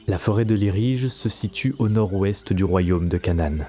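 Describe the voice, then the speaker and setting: A man's voice: deep voice, moderate pace, dark tone. A man narrating a scripted fantasy audio story as a storyteller. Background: faint music.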